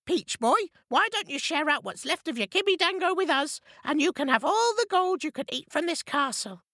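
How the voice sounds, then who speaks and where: A man voicing a gentle, peacemaking female pheasant with a high, reasonable voice. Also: sing-song, normal speed, dry recording, studio quality, full character voice. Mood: helpful.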